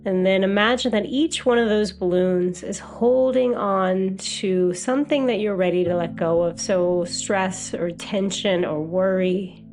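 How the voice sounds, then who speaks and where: A woman guiding a meditation as a hypnotherapist, with a soothing, gentle, unhurried delivery. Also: medium pitch, faint music behind. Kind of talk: guided meditation.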